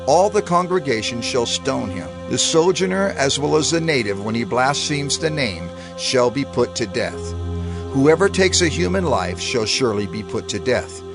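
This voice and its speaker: A man reads scripture aloud in a measured, declamatory way, his pitch rising and falling expressively. Clear music plays underneath the whole reading. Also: expressive. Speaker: scripture reader.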